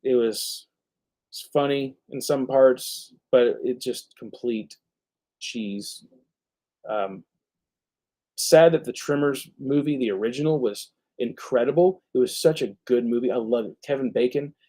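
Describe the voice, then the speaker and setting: A man hosting a live stream, chatting casually and rambling, often halting as he searches for words. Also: mid-range voice, dark tone. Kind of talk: casual rambling movie chat.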